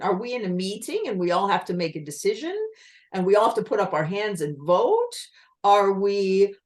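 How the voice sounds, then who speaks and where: A woman presents an online talk on communication, speaking into a video call microphone. Her pitch rises and falls a great deal as she explains. She keeps putting rhetorical questions to her listeners. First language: English.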